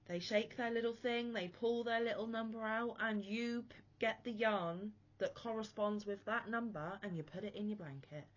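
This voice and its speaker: A woman's voice: mid-range voice, moderate pace, hardly any pauses, dull audio. Chatty, under-the-weather crochet vlogger woman. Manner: chatty, self-deprecating, rambling asides. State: unwell, chesty and a bit wheezy. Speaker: crochet channel host. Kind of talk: casual chat to camera.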